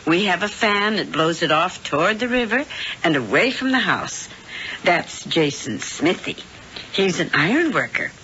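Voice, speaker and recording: Lively, prim, scheming landlady. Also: lowish voice, normal speed. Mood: sweetly welcoming. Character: genteel, prim, scheming wife posing as sweet landlady. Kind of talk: 1970s radio drama acting. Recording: dull, noisy radio broadcast tape.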